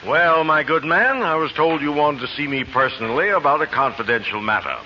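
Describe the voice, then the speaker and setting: A man's voice: on the high side, expressive, a little fast, music in the background, close to an everyday voice. A man playing a pompous genteel banker. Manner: florid, affected speech. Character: pompous genteel male banker, self-important. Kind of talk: 1940s radio comedy acting. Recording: lo-fi old radio broadcast.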